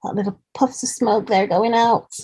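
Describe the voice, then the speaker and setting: A woman's voice: mid-range voice, fairly even intonation, moderate pace, dark tone, breathy edge. A woman hosting a children's storytime, reading a picture book aloud and chatting to young listeners in an encouraging way as she points out details. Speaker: children's storytime host and reader.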